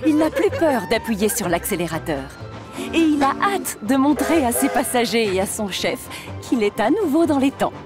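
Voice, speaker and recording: A woman's voice: fairly high pitch, very animated, normal speed. A woman playing the narrator of a children's train cartoon, telling the story expressively from a script. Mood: storytelling. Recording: music behind the voice.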